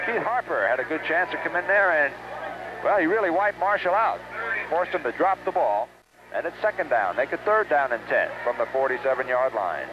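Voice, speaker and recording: An American man gives live football play-by-play in a high-set voice. The audio is a muffled 1970s radio broadcast with clear background noise, recorded at an outdoor game. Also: lively intonation, fairly quick.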